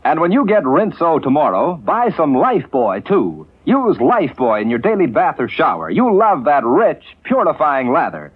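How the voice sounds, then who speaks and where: A man's voice: sing-song, normal speed, hardly any pauses. An American man reading a 1940s radio soap commercial with eager, persuasive delivery. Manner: eager, persuasive salesman pitch. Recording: tinny, husky vintage radio recording.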